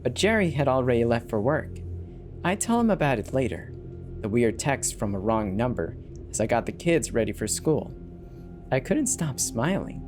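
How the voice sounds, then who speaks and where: A theatrical storyteller reads first-person horror fiction at a medium pitch. The delivery is expressive and dramatic, with music playing behind the voice. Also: normal speed. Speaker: narrator of horror stories. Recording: background music behind the narration.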